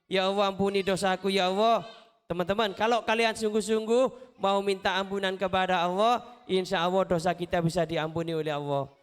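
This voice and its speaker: A man giving an animated, interactive Islamic motivational talk to schoolchildren, asking questions and repeating phrases. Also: high voice, fairly quick, smooth. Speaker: children's storyteller and motivational speaker. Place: live event at a school before children.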